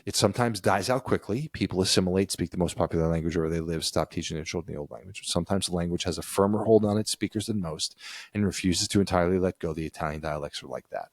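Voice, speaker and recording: Low-voiced man co-hosting a chat. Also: fast talker, no room sound, clean studio sound. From United States. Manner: reads passages aloud, then riffs conversationally. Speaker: podcast co-host. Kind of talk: casual chat.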